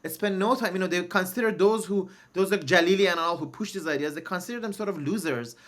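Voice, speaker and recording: A man's voice: high-pitched, fast talker, fairly bright tone. A man from Iran, a native Persian speaker, arguing his side on a discussion panel with emphatic, filler-heavy talk. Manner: emphatic, argues back with lots of "you know".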